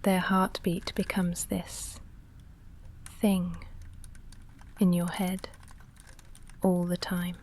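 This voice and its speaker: A woman playing a shy, lovestruck vampire in an intimate ASMR roleplay, speaking softly and breathily with halting, confessional hesitation, often in a whisper. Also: on the low side, flat delivery. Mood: hushed longing. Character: shy lovestruck female vampire roommate, confessing and self-restrained.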